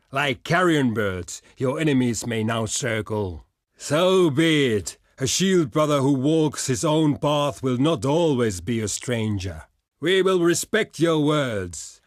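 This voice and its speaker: Proud, slow-spoken barbarian envoy. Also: male voice, medium pitch, dry recording, studio quality. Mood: resigned, grave. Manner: declamatory, weighty, formal oratory. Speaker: diplomat character in a strategy game. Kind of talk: theatrical scripted game voice lines.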